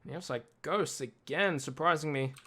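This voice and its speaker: A man commentating a competitive shooter match play by play, tired and a little raspy late at night. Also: on the high side, moderate pace, a bit bright. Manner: self-deprecating, talks through tech trouble. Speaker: esports caster.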